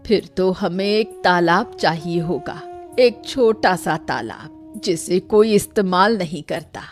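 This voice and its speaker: A woman playing a caring, practical old village aunt in a Hindi animated moral story, speaking in a measured, plain way. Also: lowish voice, faint music behind.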